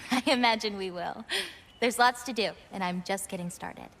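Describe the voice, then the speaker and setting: A woman voicing an earnest, gentle teenage girl in a video game, sincere and a little hesitant. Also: medium pitch, moderate pace, slight background noise, close to an everyday voice. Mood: warm, hopeful. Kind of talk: dramatic video game cutscene acting.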